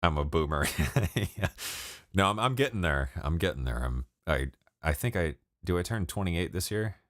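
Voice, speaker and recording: Late-20s guy with a deep voice, rambly and sweary, dry close sound. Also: lively intonation, normal speed, fairly bright tone, no room sound. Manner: rambling, profane, laughs often. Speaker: music producer streaming live. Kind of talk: casual livestream chat with viewers.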